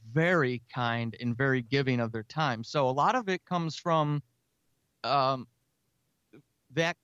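A talkative, energetic man speaking casually as a guest in an interview about his creative work. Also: a little high, smooth, dry recording, dull audio. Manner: energetic, talkative, self-described extrovert. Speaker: audio drama writer and podcaster, interview guest.

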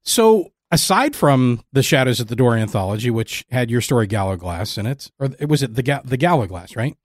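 A man's voice: mid-range voice, very animated, fairly quick, no echo. Informal male podcast host, very dry sound. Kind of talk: casual interview chat.

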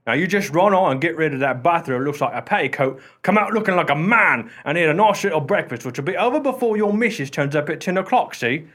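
A man's voice: fairly high pitch, animated, fast talker, few pauses, fairly bright tone. An actor playing an irreverent working-class married man in a radio play, folksy and cheeky in his speech. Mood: cheeky, coaxing. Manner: cheeky, familiar, unflappable.

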